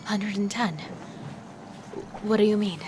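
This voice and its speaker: A woman acts out a confident female serial killer in a horror audio drama. She is sly, scheming and seductive, relishing the thrill as she persuades. Clear boat-like ambient noise sits behind her voice. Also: medium pitch, steady tone, takes it slowly, natural voice. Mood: teasing, sly. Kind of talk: audio drama acting with first-person narration.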